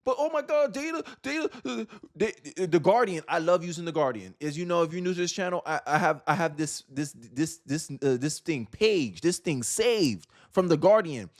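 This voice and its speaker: A man's voice: a little high, lively intonation, normal speed, few pauses. A man hosting political commentary, talking casually and emphatically to viewers with repeated phrases and rhetorical questions.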